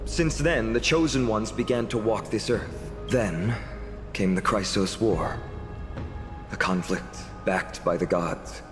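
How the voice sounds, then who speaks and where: A man's voice: on the low side, takes it slowly. An actor playing an earnest young male hero of a fantasy game, speaking in a solemn, formal, heartfelt way. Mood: solemn narrating. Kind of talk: video game voice acting. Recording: long reverb, music behind.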